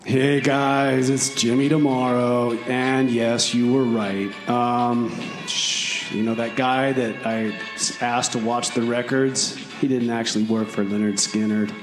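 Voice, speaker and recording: A man's voice: medium pitch, little pitch movement, measured pace, lightly acted. A man playing a chatty, overconfident time-traveler leaving a breezy message in comedy radio theater. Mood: sheepish. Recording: echoey hall reverb, loud music behind.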